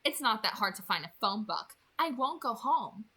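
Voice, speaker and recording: A woman's voice: on the high side, expressive, normal speed, sharp tone. A woman voicing a brave, stubborn teenage girl from a vampire novel in an earnest, insistent read-aloud. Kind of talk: expressive audiobook-style read-aloud.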